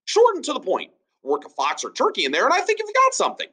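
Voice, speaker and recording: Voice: high voice, wildly swooping pitch, fast talker, fairly bright tone, pitched above the performer's own voice, exaggerated voice. A storyteller voicing a know-it-all colonial lad who hands out proverbs to anyone. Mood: preachy.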